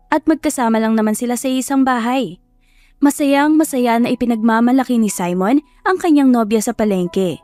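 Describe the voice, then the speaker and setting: A woman's voice: on the high side, no room sound. A woman narrator reading a scripted love story in a storytelling style. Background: soft music.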